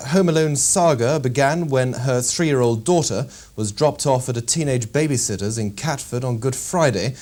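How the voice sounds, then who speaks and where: A man with a British accent reading a scripted local television news bulletin in a formal, measured manner. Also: mid-range voice, a little mellow.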